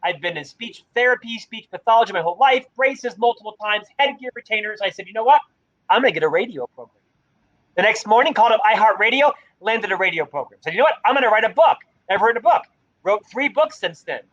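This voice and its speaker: Middle-aged guy with a stutter, high voice, kinda muffled lo-fi audio, animated storyteller. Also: quick pace. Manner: energetic storytelling with a mild stutter. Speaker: podcast guest, leadership author. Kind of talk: conversational interview.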